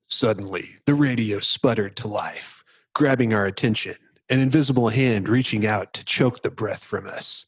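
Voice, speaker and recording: A man's voice: on the low side, normal speed, slightly rough, no room sound. A man hosting a podcast, speaking in a casual but presentational way into a close microphone. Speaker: podcast host. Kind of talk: casual chat with dramatic book reading.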